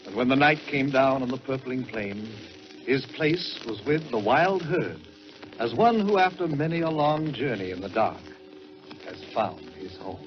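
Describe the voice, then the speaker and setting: An American man narrating in a dramatic 1940s radio style, with measured, theatrical delivery and drawn-out words. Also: medium pitch, animated. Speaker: storyteller and radio host. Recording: dull vintage 1940s radio, music behind.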